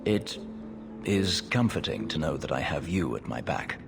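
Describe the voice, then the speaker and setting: A man's voice: a bass voice, sing-song, a little slow, creaky, music in the background, heavily acted. A man playing a brooding, remorseful elf warrior in a video game, grave and subdued. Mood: quiet gratitude. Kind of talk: dramatic video game acting.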